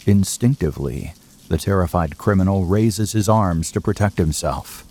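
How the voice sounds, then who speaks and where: A man narrating an audio drama from a script in a measured, serious, literary manner. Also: low voice, darkish tone, slightly rough.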